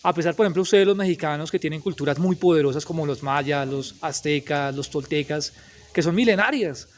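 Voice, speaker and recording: A man's voice: a little high, brisk. A man, asking long, emphatic interview questions. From Colombia.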